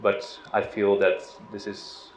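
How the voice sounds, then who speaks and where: A middle-aged man from the Netherlands giving an informal spiritual talk, relaxed and hesitant, with restarts and soft laughs. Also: lowish voice, slow. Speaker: spiritual teacher. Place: outdoors on a river boat. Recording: roomy, dull lo-fi sound with faint noise.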